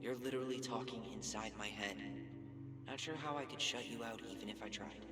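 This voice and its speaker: An actor playing a guarded, dry-witted young American brother in naturalistic video game dialogue. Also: male voice, medium pitch, a little fast, over music, close to an everyday voice. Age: young adult. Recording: noisy ambient background.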